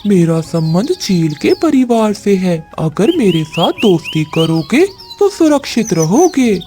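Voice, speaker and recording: A woman's voice: mid-range voice, big pitch swings, unhurried, warm tone, soft background music, voice pushed down. A woman voicing a crafty male crow, an earnest, wheedling schemer in a children's cartoon. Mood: boastful. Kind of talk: children's cartoon story dialogue.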